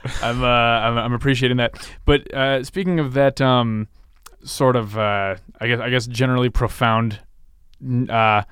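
A man's voice: medium pitch, fairly even intonation, moderate pace, a bit bright, clear, resonant tone, no room sound, studio quality. A man hosting a radio interview in a casual, wordy way, feeling his way through his phrasing. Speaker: radio show host.

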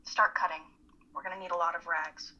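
A young woman plays a bossy, blunt college student who cares underneath, in an audio drama. Her voice is bright and husky, on a dull lo-fi recording. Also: fairly low pitch, little pitch movement, a little fast. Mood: stern, bossy. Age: young adult. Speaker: actor in a dramatic reading. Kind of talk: radio-play style dramatic reading.

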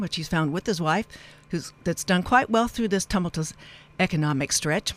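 Woman, radio host, live interview, halting, wordy, digressive. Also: fairly low pitch.

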